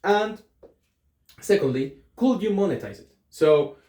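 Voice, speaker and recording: A man's voice: high voice, lively intonation, unhurried, mellow, slight background noise. A young man with a Colombian accent talking casually to camera in a reflective vlog, thinking aloud with frequent ums and self-corrections. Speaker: vlogger. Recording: echoey room.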